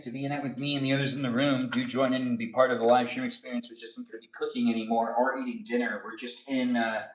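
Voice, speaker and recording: Man in his thirties, livestream host, chatty and rambling, mid-pitched, recorded from a distance in an echoey kitchen. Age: 30s. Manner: playful and rambling, sing-song asides. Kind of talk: casual livestream chat. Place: home kitchen area. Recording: roomy, distant camera audio.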